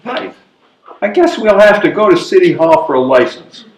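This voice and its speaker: Actor playing a fretful old widower, swinging pitch. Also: male voice, big pitch swings, normal speed, slight background noise. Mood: nervous, questioning. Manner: hesitant, protesting, questioning. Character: hesitant, fretful elderly widower courting his girlfriend. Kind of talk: readers theatre stage comedy. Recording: echoey live room recording.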